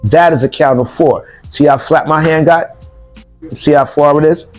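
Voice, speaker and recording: Man coaching breathing, slow steady cues. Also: on the high side, faint music behind. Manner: instructive, counts breaths aloud, repeats cues. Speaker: self-defense instructor. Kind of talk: demonstration lesson.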